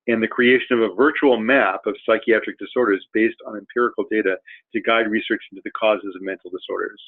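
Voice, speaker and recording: Man introducing speakers over a tinny line. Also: lowish voice. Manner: halting, many "uh" fillers and restarts. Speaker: webinar host. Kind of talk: hesitant spoken introduction. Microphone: conference call. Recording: tinny phone-line audio.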